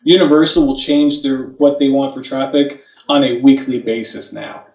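A man's voice: mid-range voice, normal speed, a little mellow, highs cut off. A man co-hosting a podcast, talking casually and bluntly in slangy, unscripted language. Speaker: podcast co-host. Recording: roomy, distant from the microphone.

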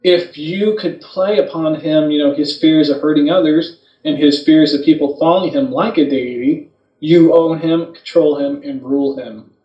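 A man's voice: a little high, moderate pace. A man co-hosting a podcast in casual debate, insistent and explanatory, on a muffled, distant recording. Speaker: podcast co-host. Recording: muffled, echoey, distant microphone.

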